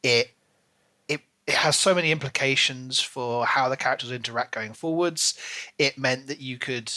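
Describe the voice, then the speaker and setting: A man's voice: medium pitch, normal speed, sharp tone. A man co-hosting a podcast, casually discussing books in a chatty, hedging way with frequent filler phrases. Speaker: podcast co-host.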